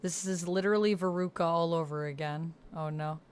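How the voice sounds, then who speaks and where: A woman's voice: fairly low pitch, fairly even intonation, fairly quick, clear, resonant tone. A woman talking casually through an online text roleplaying game, thinking aloud in fragments and reading out what she types.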